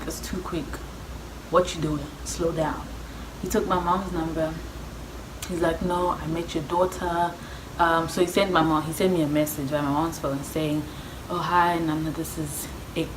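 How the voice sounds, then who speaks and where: A young South African woman with a low voice chats casually to her viewers. She tells her story in slang and acts out each side of the conversations. The sound is echoey, with clear background noise. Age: young adult. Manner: chatty, slangy, acts out reported dialogue. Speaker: vlogger.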